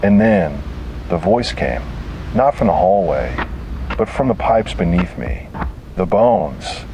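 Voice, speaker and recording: A man delivering scripted first-person horror narration in a grave, dramatic manner with deliberate pauses. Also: sits low, big pitch swings, vocal fry, background noise.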